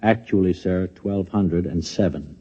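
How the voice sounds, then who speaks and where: Flustered american security boss, stammery, bit husky, muffled old radio drama. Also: male voice, low voice, a little slow, dark tone. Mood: formal, awkward. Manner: stammering, insistent, coaxing. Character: flustered, pleading security chief of an atomic laboratory. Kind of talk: 1950s radio drama acting.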